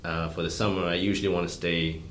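A man talking in a relaxed, thoughtful way, often trailing off. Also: deep voice, quick pace, reverberant, slight background noise. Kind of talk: casual chat.

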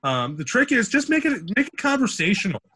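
A young man with a big, projecting voice, sitting sitting a little higher than most men's. He rambles through loose podcast talk, circling back and restarting his sentences. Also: very animated, brisk, bright, forceful tone, breathy edge. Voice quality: deep, projecting voice. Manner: rambling with frequent restarts and fillers. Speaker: campus radio sports director, guest. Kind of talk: casual podcast interview.

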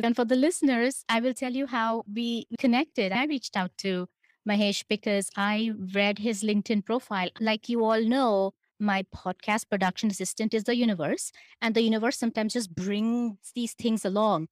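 A woman's voice: a little high, fairly even intonation, moderate pace, dry recording. A woman hosting a podcast interview, welcoming her guest and speaking directly to her listeners in an encouraging way. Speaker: podcast host.

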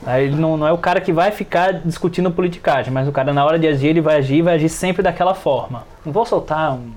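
A man's voice: fairly high pitch, racing, darkish tone. A man talking informally on an RPG podcast, often repeating phrases and adding short tag questions. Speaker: RPG podcast participant and player. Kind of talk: casual chat.

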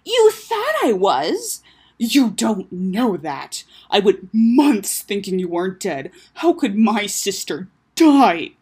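A woman's voice: fairly high pitch, sing-song, unhurried, a little mellow, husky, voice pushed up, full character voice. A woman voicing a brash, sarcastic, foul-mouthed young father who is hurt and guarded, in a story reading. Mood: angry, hurt. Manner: sarcastic, emphatic, swearing.

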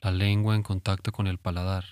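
A man leading a guided relaxation meditation in a calm, soothing, instructive way with long pauses. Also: low-pitched, smooth, no echo. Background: music.

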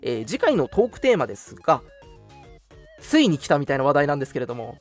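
A man's voice: on the high side, huge pitch swings, fast talker, faint music behind. A young man hosting an online radio show, making announcements in a polite, earnest, conversational way. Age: young adult.

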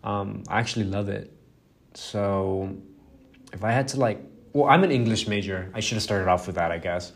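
A man's voice: lowish voice, moderate pace, vocal fry. A young man whose first language is Spanish, hosting a casual solo podcast, rambling and self-deprecating with lots of fillers and swearing. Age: young adult. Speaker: podcast host.

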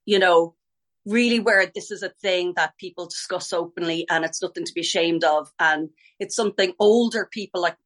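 Chatty irish woman, low-ish voice. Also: normal speed, fairly bright tone. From Ireland. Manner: chatty, self-interrupting, laughs easily. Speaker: ballet teacher and former ballerina. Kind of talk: casual podcast interview.

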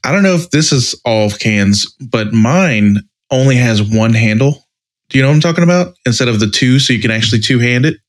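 A man's voice: medium pitch, animated, fairly quick. Casual man with a mid-range voice. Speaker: podcast co-host. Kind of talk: casual chat.

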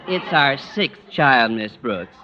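A man's voice: medium pitch, lively intonation, unhurried, crowd noise, lightly acted. An old, noisy radio sitcom recording with a bashful man voiced by an actor. He speaks mildly and sheepishly, with small hesitations. The sound is muffled. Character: bashful, nervous male school worker, expectant father. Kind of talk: 1940s-50s radio sitcom acting.

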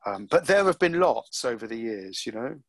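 A middle-aged man answering interview questions about his acting career, reflective and unhurried, with frequent ums and restarts. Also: medium pitch, big pitch swings, dry recording. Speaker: actor being interviewed.

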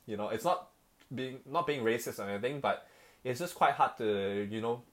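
A young man from Malaysia chats in a relaxed, rambling way. He fills his sentences with "like" and "you know" and restarts often, and his pitch sits in an ordinary middle range. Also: moderate pace. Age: young adult. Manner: chatty, rambling, many fillers and restarts. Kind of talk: casual conversation.

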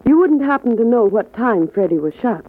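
Sassy radio dame, tinny sound. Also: female voice, on the high side, very animated, a little fast. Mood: cool, guarded. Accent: American. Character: sassy young dame, boxer's girlfriend. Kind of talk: 1940s radio drama acting. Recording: tinny band-limited old radio broadcast.

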